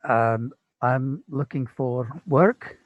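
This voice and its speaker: A man playing in a tabletop game, talking through his character's moves in a relaxed, dry way. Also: mid-range voice, extremely slow, dry recording, phone-quality sound. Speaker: player.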